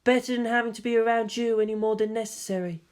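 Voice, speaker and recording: A woman's voice: on the high side, steady tone, fast talker, a little mellow, close to an everyday voice. Woman reading a defiant young warrior woman.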